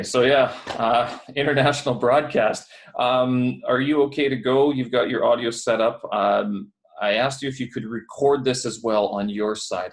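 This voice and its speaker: Low-voiced, rambling man hosting. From Canada. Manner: rambling, filler-heavy, friendly. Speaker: podcast host. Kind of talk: casual interview chat. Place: building away from home. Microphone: headphones on a video call.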